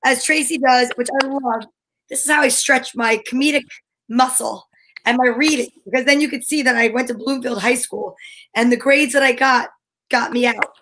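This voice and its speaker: A woman's voice: high-pitched, steady tone, normal speed, a bit bright, a little hiss or hum, low-quality recording. A woman cast member chatting casually, in a joking and self-deprecating way. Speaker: cast member of an amateur radio show.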